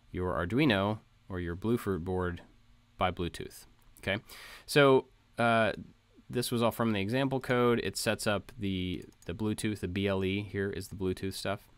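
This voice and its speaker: Low-voiced man giving a casual tutorial. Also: fairly bright tone, clean tone, dry recording. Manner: informal, explanatory, step by step. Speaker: tutorial presenter. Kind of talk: casual screen-share code walkthrough.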